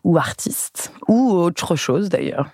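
A woman's voice: on the low side, expressive, slow, mellow, husky. A woman hosting a podcast, chatting and asking friendly, encouraging questions. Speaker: podcast host. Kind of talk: friendly interview.

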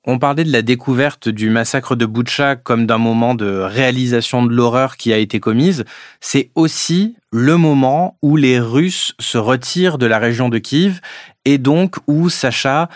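A man's voice: mid-range voice, unhurried, no echo. A male interviewer with a mid-range voice lays out context and puts questions to guests. His phrasing is structured and prepared. The recording is dry and close. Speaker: podcast interviewer.

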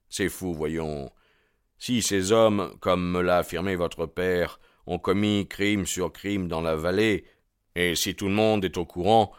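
A man's voice: low-pitched, clear, resonant tone, dry recording, studio quality. A man voicing a bold, ardent young man in an audiobook, proud and insistent in his delivery. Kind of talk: audiobook character dialogue.

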